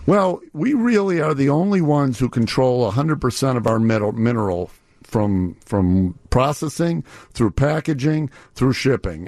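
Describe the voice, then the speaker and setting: A man's voice: mid-range voice, a little mellow, dry recording. Male, mid-range voice, company guest on radio, methodical explainer, dry sound. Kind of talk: promotional radio interview.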